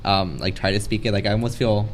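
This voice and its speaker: A man's voice: lowish voice, quick pace. A young man from the Rio Grande Valley in Texas on a student panel, talking in a rambling way with frequent "like" fillers. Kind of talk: casual panel discussion. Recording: faint background noise.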